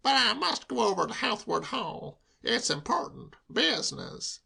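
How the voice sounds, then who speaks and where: A man voicing a breathless, desperate man who croaks out urgent demands in a strained, raised voice. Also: high voice, big pitch swings, measured pace, pushed and bright, pitched above the performer's own voice, exaggerated voice. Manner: croaking, pleading.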